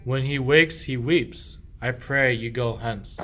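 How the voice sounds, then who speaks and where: A man playing a sly husband feigning innocence and hospitality, speaking measured archaic rhymed verse in a radio play. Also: mid-range voice, fairly bright tone, a little hiss or hum. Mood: defensive.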